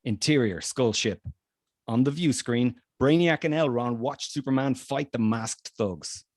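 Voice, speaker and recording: A man's voice: medium pitch, unhurried, no echo, clean studio sound. A man reading screenplay stage directions aloud as narrator in a scripted table read.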